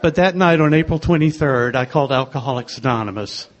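Soft-voiced older man, wry storyteller. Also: mid-range voice, a little fast, dull audio, some chatter behind. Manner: gentle, wry storytelling with small laughs. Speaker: speaker sharing a recovery story. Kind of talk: personal testimony to a group.

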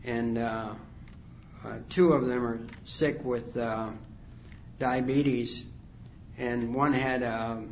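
A man in his sixties telling his recovery story at a conference, rambling and halting with many fillers. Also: mid-range voice, steady tone, dull audio, a little hiss or hum. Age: 60s. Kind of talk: personal testimony talk. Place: conference hall.

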